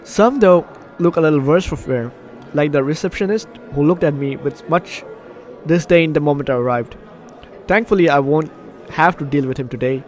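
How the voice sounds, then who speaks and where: Higher-voiced man doing game commentary. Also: normal speed. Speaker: video game streamer. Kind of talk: let's play commentary, reading game text aloud. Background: game music.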